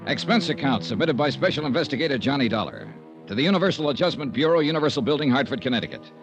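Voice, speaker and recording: An actor playing a wry, persistent insurance investigator in a 1950s American radio drama, with a clipped, conversational manner. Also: male voice, medium pitch, lively intonation, very fast, over music, close to an everyday voice. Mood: businesslike. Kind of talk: 1950s radio detective drama acting and narration. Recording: dull vintage 1950s radio recording.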